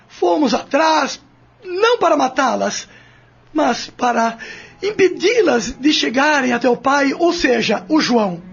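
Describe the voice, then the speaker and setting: Dull lo-fi audio with prominent music carries an actor as a distraught young male defendant. His voice is very high and tearful as he confesses in a radio-style drama, desperate to be believed. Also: full character voice. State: crying.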